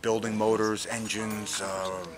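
A middle-aged man informally explaining a site's history in a halting, matter-of-fact way, recorded on a camera inside an abandoned building. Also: fairly low pitch, level tone, a crowd in the background. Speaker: local guest explaining site history. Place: inside a large dark abandoned building at night. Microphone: camera a few feet away. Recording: camera audio with people chattering behind.

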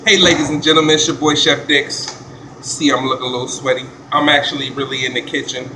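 A man, a chef filming a casual vlog in a commercial kitchen, talking in relaxed slang. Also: mid-range voice, fast talker, background noise. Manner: laid-back slangy hype talk. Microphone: handheld phone camera.

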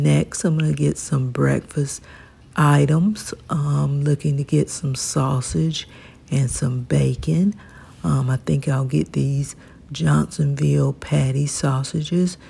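A woman's voice: low-pitched, gravelly, slightly rough. A woman vlogging her grocery run in a supermarket, talking casually with frequent ums as she films on her phone. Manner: relaxed, chatty, frequent ums. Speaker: vlogger, mother doing grocery shopping. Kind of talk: casual first-person narration while shopping. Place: supermarket aisles, indoors. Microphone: phone camera held while pushing a cart. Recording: noisy store ambience.

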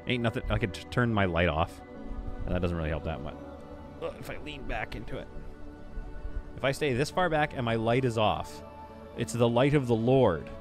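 A jokey Canadian man chatting through a game stream on a boom mic. His pitch leaps around a lot, and faint game music hums underneath. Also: normal speed, long pauses. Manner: playful, jokey, lively, swings between loud bursts and quiet asides. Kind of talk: casual livestream chat while building in a game. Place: home room with a green screen. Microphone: studio mic on a boom arm.

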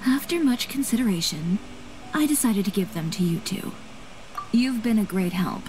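Woman playing proud young designer lady, game dialogue, composed n blunt, mid pitch, music under it. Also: lively intonation, normal speed, a little mellow, lightly acted, poor audio. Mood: sincere. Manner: composed, matter-of-fact. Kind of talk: video game voice acting.

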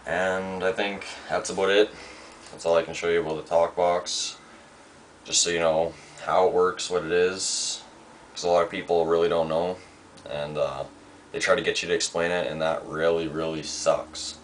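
Deep-voiced young man, laid-back and halting. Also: flat delivery. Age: young adult. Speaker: amateur musician demonstrating gear. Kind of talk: casual home tutorial. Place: small room at home. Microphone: handheld camera's built-in microphone. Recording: noisy amateur camera microphone.

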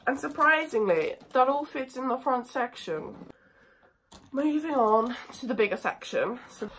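A teenage girl from England with a cold and a croaky voice, chatting casually and with a self-mocking liveliness to a phone camera in her bedroom. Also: high voice, animated, measured pace, noisy background. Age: teens. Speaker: vlogger. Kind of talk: casual chat. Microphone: phone camera at desk distance.

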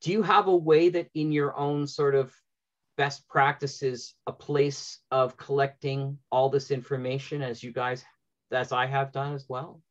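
A man's voice: medium pitch, steady tone, unhurried. A middle-aged man hosting an informal panel, thinking aloud in a conversational way over a lo-fi video call. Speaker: panel host and audio drama writer. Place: indoor room at home, on a video call. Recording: dull lo-fi video call audio.

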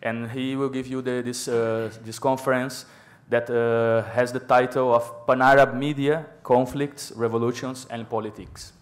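A man acting as moderator introduces a guest from a panel table in a large lecture hall, speaking into a table microphone. His delivery stays flat and even in pitch. He hesitates often, repeating words and filling gaps with fillers. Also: measured pace. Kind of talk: formal introduction.

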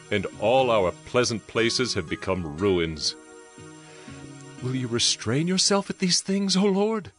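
Slow, solemn, pleading praying man. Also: fairly low pitch, a bit bright. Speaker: scripture narrator. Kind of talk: dramatized Bible reading. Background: music.

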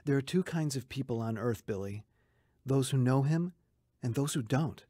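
Radio drama role, male actor: devout male coworker, sincere and persistent, slightly rough voice, lively intonation, dry recording. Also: medium pitch, moderate pace, dry recording, close to an everyday voice. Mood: earnest. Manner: earnest, emphatic, recites scripture solemnly.

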